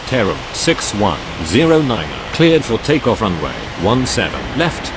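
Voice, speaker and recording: Man, lowish pitch, extremely varied intonation, scripted aviation calls, loud background noise. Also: sing-song, measured pace. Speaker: air traffic controller and pilot radio voice in a simulation game. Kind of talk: clipped scripted aviation radio phraseology.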